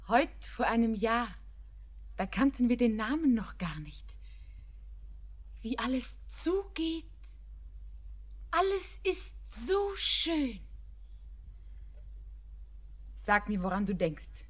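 High-voiced, dreamy young granddaughter. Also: female voice, lively intonation, slow, long pauses. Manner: dreamy, lyrical. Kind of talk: poetic German radio play acting.

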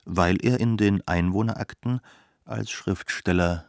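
An actor playing a sober, mildly disappointed visitor in a measured radio-play monologue. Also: male voice, sits low, gravelly. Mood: dismissive.

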